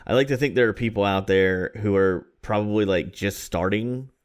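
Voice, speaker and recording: A man's voice: fairly low pitch, normal speed, clear, resonant tone. A man in his thirties from Florida, co-hosting a podcast in a rambling, self-deprecating casual chat. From Florida, USA. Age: 30s. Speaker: podcast co-host.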